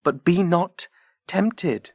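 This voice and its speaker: A man's voice: high voice, extremely slow, no room sound, heavily acted. A man playing an ardent, jealous young Trojan prince in love, delivering classical verse earnestly in an audio drama. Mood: anxious, tender. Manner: earnest, declamatory.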